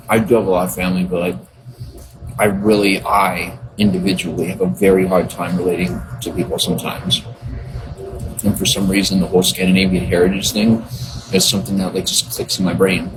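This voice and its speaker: A man's voice: sits low, noisy background. A man chatting on a livestream, earnest and rambling, reading and answering viewers. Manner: rambling, earnest, many restarts. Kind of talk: casual livestream chat.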